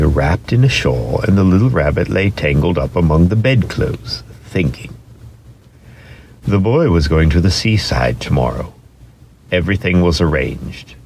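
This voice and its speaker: A man narrating a children's storybook as an expressive, theatrical dramatic reading. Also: deep voice, gravelly, a little hiss or hum.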